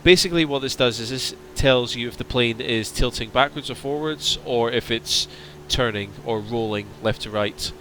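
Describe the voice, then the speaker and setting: A man streaming a flight simulator, chatting casually and answering viewers' questions while his voice starts to strain and go hoarse. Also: mid-range voice, normal speed, hardly any pauses, fairly bright tone, slight background noise. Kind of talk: casual livestream chat.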